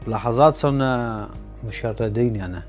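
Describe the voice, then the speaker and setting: A man's voice: mid-range voice, very fast. A Syrian man interviewed in a TV report, first language Arabic, telling things plainly and earnestly. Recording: TV report with guitar music underneath.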